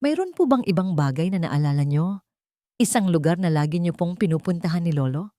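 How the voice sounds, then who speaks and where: A woman reads a devoted young grandson in an audio storybook. The delivery is earnest, gentle and respectful, in a fairly low female register with a dry, close sound. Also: lively intonation, fairly quick, no echo, lightly acted. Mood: hopeful, searching.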